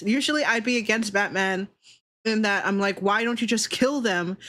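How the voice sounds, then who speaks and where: A woman's voice: on the high side, fairly bright tone. A woman streamer chatting casually and giving blunt opinions, swearing freely and repeating herself for emphasis. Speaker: livestreamer, VTuber host. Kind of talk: casual livestream chat.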